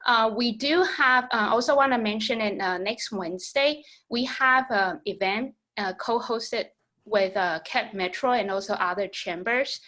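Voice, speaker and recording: A woman's voice: on the high side, measured pace, pushed and bright. A young woman from Taiwan, English not her first language, presenting programs in an online meeting with frequent fillers. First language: Mandarin Chinese or Taiwanese. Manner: friendly, filler-heavy, explaining programs. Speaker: business program manager. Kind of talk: virtual presentation.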